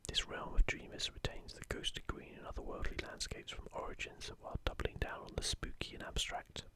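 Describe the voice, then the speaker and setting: An ASMR host whispering softly in a breathy, unvoiced voice, giving unhurried, rambling commentary. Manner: hushed, unhurried, rambling asides.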